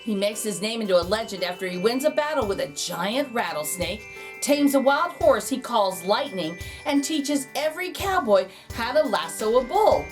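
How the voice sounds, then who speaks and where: Female storybook narrator, expressive and animated, leaning on key words for young listeners. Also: a little high, normal speed, soft background music. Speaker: children's book read-aloud host. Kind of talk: expressive storybook read-aloud.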